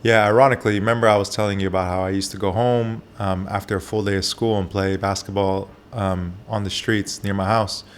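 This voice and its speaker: A man who grew up in Japan, a barber, answering interview questions candidly, with soft pauses and frequent fillers. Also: lowish voice, flat delivery, a little hiss or hum.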